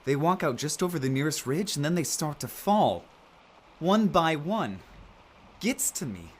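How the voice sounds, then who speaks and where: A man's voice: a little high, sing-song, a little slow, slightly rough, full character voice. An actor playing an anxious, sensitive male survivor in an audio drama, rambling in a plaintive, hesitant way. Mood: guilty, brooding.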